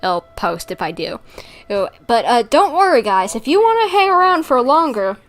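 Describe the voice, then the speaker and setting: A woman with a fairly high voice hosts a game livestream. She talks casually to her viewers while she plays, rambling along in a chatty, giggly way. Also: sing-song, normal speed.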